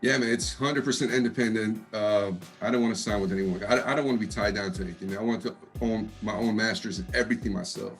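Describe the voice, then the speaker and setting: A man from Texas with a deep voice and an East Coast lilt, chatting fast and easygoing on a video call interview. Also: steady tone, soft background music. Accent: East Coast US tinge over Texan. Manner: fast-talking, laid-back, laughs often, says man a lot. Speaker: rapper interviewee. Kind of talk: casual interview chat.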